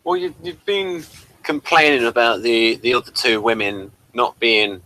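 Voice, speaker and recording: A man's voice: mid-range voice, unhurried, pushed and bright, no room sound, low-quality recording. A man hosting a casual review discussion. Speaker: host of a review show.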